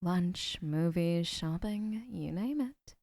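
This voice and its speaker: A woman's voice: lowish voice, lively intonation, slow, no echo, heavily acted. Jackie, a woman playing a gushing obsessive kidnapper. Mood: adoring. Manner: soft, gushing, often whispering. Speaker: voice actor. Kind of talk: audio roleplay.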